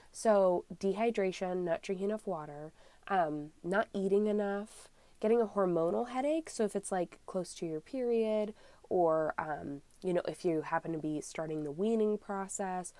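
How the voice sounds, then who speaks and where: A woman's voice: mid-range voice, unhurried, mellow, dry recording. A young woman with a cold, slightly scratchy and congested, chatting casually as an herbalist podcast host with lots of ums. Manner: chatty, many ums, friendly explaining. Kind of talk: casual solo podcast talk.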